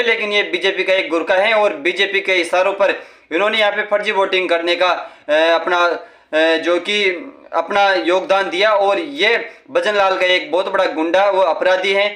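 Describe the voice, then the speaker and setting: A man presenting improvised news commentary, halting often as he searches for words. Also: sits high, bright, forceful tone, reverberant. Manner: halting, with frequent hesitations.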